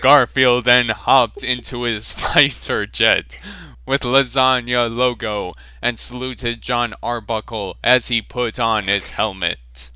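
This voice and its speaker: A man narrating an amateur fan fiction reading in a slow, amused, deadpan way. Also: medium pitch, smooth, dry recording.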